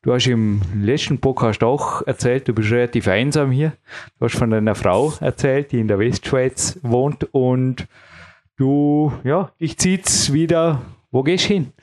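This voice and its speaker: A man's voice: medium pitch, unhurried, darkish tone. Man hosting a podcast. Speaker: podcast host interviewer. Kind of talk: conversational podcast interview.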